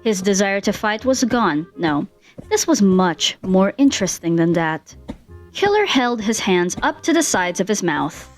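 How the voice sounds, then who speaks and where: A woman reading fan fiction as an expressive scripted story narrator. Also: mid-range voice, measured pace, faint music behind.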